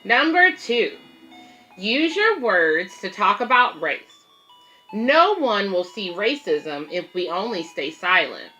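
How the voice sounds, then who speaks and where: A woman's voice: mid-range voice, very animated, slow, sharp tone, faint music behind, rough recording. A woman hosting a children's read-aloud, reading a picture book and explaining it simply to young listeners.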